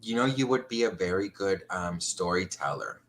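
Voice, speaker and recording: A man's voice: sits low, expressive, moderate pace, fairly bright tone, slight background noise. Effusive chatty man hosting a livestream. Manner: chatty, effusive, calls people honey and girl. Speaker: livestream host. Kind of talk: casual chat on a livestream.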